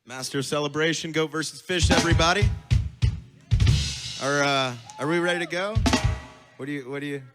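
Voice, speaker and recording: A man's voice: on the high side, brisk, pauses often, loud music behind the voice. A man making a casual, offhand announcement on a live festival stage. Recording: live stage, loud drums behind.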